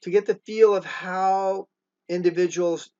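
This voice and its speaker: A man's voice: sits high, fairly even intonation, measured pace, warm tone, clear, resonant tone. Earnest older man giving a dull, lo-fi presentation. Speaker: education trainer presenting his program. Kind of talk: spoken presentation to schools. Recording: dull lo-fi recording.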